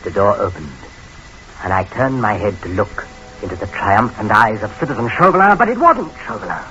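An actor playing a gallant, courteous English spy adventurer, measured and dramatic in old-time radio drama style. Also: male voice, lowish voice, expressive, bright, forceful tone. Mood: tense, reflective. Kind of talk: old-time radio drama acting, first-person narration. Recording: dull, hissy old radio recording.

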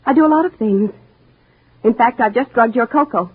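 An actress playing a mousy, downtrodden wife who calmly plans to murder her husband, in old-time radio drama style, speaking quietly and chillingly and breaking into sobs. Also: a little high, normal speed, breathy edge. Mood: calm menacing. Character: timid downtrodden wife turned cold poisoner. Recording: muffled lo-fi old radio recording.

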